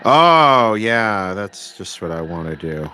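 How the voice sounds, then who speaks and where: Guy with a slightly deep voice doing a rambly repair livestream, wry, stops and starts, bit noisy. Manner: rambling, halting, thinking aloud. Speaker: livestream host, electronics repair hobbyist. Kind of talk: casual unscripted livestream chat, reading and answering viewer requests. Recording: clear steady background noise.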